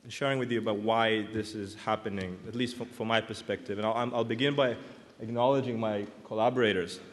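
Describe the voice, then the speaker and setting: A man's voice: on the low side, steady tone, fairly quick. A man in his thirties from Israel, speaking English, giving an earnest, reflective conference talk as a clinical psychologist. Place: large conference hall. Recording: long hall reverb.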